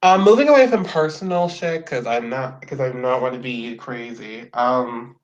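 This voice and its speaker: A man's voice: mid-range voice, normal speed. A man hosting a casual podcast interview, rambling and swearing, often slipping into playful quoted voices. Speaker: podcast host. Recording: dull lo-fi sound.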